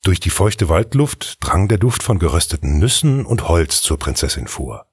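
A man with a husky voice narrating a scripted fairy tale in an expressive read-aloud style. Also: sits low, normal speed, no room sound, clean studio sound. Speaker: storyteller, narrator.

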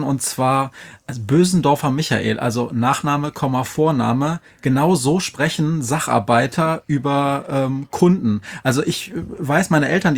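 A man on a podcast panel, giving feedback in a halting way, rephrasing as he goes. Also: medium pitch, vocal fry. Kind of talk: casual discussion and feedback.

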